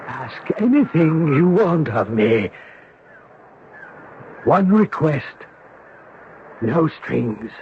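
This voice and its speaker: An actor playing a supernatural giant servant, formal, measured and deferential, in a 1970s radio drama. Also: male voice, on the high side, sing-song, a little hiss or hum, heavily acted. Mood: solemn, imperious. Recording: roomy, muffled 1970s radio broadcast.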